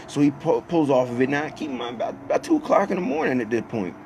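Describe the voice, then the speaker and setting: A man in his thirties with a mid-pitched voice tells a personal story in loose, profane slang. He talks conversationally and slips bits of quoted dialogue into the telling. There is noticeable ambient noise behind him. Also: huge pitch swings, fast talker, a little mellow. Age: 30s. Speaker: storyteller and host. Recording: clear background noise.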